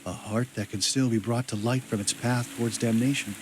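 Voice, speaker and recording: A man's voice: medium pitch, fairly even intonation, a little fast, close to an everyday voice. Solemn, troubled man an actor over rain. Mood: brooding. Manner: solemn, brooding. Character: devout, troubled male hero, prayerful and searching. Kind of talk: video game character monologue. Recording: clear rain and ambient noise behind.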